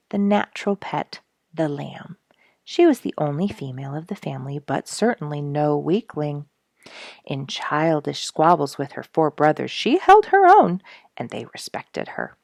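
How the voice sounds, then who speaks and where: Low-voiced woman storyteller with a creaky edge. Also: dry recording, clean studio sound. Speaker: story reader and show host. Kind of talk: expressive scripted storybook narration.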